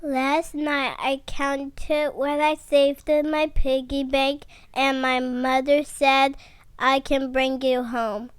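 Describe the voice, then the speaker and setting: A woman's voice: high-pitched, flat delivery, slow, dry recording, pitched above the performer's own voice. A woman voicing a sweet, eager little girl in a children's storybook with earnest, childlike delivery. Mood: happy, earnest.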